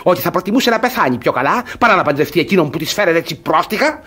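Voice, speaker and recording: An actor playing a pompous, gullible, jealous middle-aged suitor in a classic radio comedy, with a theatrical, condescending manner. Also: male voice, sits high, expressive, a bit bright, slightly rough, heavily acted. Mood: indignant scolding.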